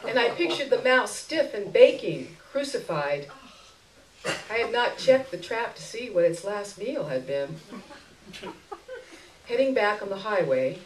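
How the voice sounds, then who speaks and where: A woman giving an expressive live reading of a first-person short story, in a literary narration style. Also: mid-range voice, a little hiss or hum. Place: indoor stage before an audience. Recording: roomy live stage recording, distant mic.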